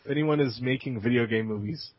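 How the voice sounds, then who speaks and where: A man's voice: mid-range voice, fast talker, lo-fi sound, crowd noise. A man co-hosting a casual gaming podcast, laid-back and mostly giving brief replies. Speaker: podcast co-host.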